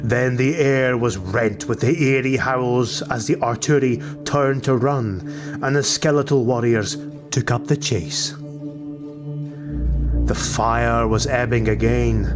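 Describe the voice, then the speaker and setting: Male fantasy narrator, mid-pitched, unhurried dramatic read over a clear music bed. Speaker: audiobook narrator.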